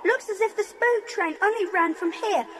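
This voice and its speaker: A woman's voice: very high-pitched, moderate pace. A woman playing a keen, eager schoolboy in a children's audio drama. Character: keen English schoolboy adventurer.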